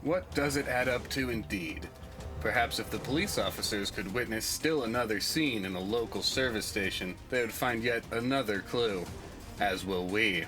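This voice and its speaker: A man's voice: fairly low pitch, moderate pace. A man delivering scripted comic-book narration in a theatrical, ominous way. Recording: music behind the voice. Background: background music.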